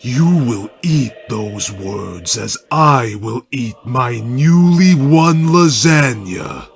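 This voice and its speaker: A swaggering action-hero cat as a man acts him out in a dramatic cartoon reading. He delivers stilted quips with smug confidence. The voice is gravelly and swings widely in pitch, with faint music behind. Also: lively intonation, full character voice. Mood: taunting. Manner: smug, deliberate, stilted one-liners. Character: cocky, quipping male tough-guy action-hero cat.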